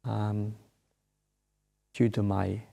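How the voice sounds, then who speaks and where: Malaysian monk giving a dharma talk, kinda low gravelly voice, lots of uhs, gentle. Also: male voice, completely flat delivery. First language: not English. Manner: halting, many filler sounds; gentle, unhurried teaching. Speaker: Buddhist monk.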